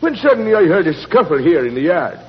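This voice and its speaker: An excitable old Irish caretaker, a colourful storyteller in an old radio play. His voice sits a little high and is folksy and emphatic. The recording is muffled and echoes. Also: quick pace. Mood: insistent, boastful. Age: older. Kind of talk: 1940s radio acting.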